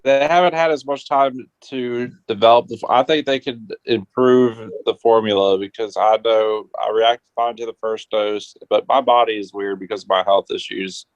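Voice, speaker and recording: A man chatting on a radio panel in a halting, unsure way, on muffled audio. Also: steady tone, dry recording. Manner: hesitant, halting. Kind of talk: casual discussion. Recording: muffled, dry low-fidelity call audio.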